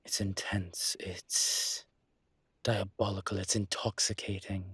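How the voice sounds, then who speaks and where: Man playing a husky, slow-talking seductive demon. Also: low-pitched, level tone, a bit bright, dry recording, heavily acted. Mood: seductive, indulgent. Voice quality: husky, breathy. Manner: languid, seductive, theatrically polite with drawn-out words. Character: suave, flirtatious male demon professor, teasing and indulgent. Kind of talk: intimate flirty audio roleplay to the listener.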